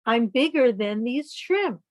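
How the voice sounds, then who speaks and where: A woman voicing a proud, bragging giant squid in a children's picture-book read-aloud, speaking slowly. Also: fairly high pitch, lively intonation, darkish tone, no echo, clean studio sound. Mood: boastful. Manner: slow, bragging delivery.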